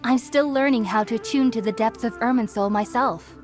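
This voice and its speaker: A woman playing an earnest, gentle young researcher in a video game, explaining things in a thoughtful, articulate way. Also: fairly high pitch, a little fast, natural voice. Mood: earnest, reflective. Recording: music behind the voice.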